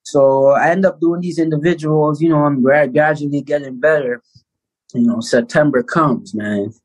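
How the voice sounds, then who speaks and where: A man in his thirties from Canada, a basketball player telling stories as an interview guest in a casual, animated way. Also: a little high, normal speed, poor audio. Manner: animated storyteller, acts out quotes loudly.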